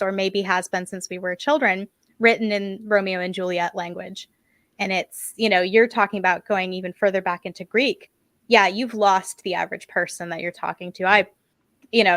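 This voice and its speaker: A woman's voice: medium pitch, a bit bright, dry recording. A woman hosting a podcast, talking in a chatty, self-deprecating way with many fillers. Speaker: podcast host. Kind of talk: casual podcast conversation.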